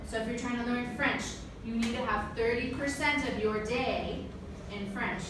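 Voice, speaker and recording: Woman teacher talking to a class from kinda far off, big echo, noisy room, slightly high voice. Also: fairly even intonation, moderate pace. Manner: asks the audience questions and checks with "right?". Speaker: teacher giving a talk to language students. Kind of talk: interactive classroom talk. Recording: echoey room, distant mic, noise.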